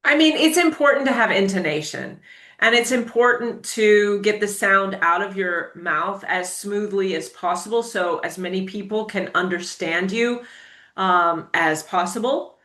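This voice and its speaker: An American woman tutor with a bright, forceful voice gives firm, encouraging advice. She speaks with lively emphasis, and her voice rings in an echoey room. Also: medium pitch, a little slow. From United States. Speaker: English tutor. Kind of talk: casual interview.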